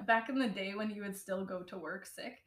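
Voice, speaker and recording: A woman's voice: medium pitch, normal speed, a bit bright. A woman co-hosting a podcast in casual chat, swearing often and laughing a lot. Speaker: podcast co-host. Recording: echoey room.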